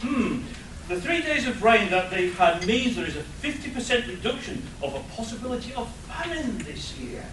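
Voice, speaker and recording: A man's voice: very high-pitched, sing-song, moderate pace. A man playing an earnest, dutiful scientist professor in a live comic radio play. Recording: roomy, distant live stage capture, noisy.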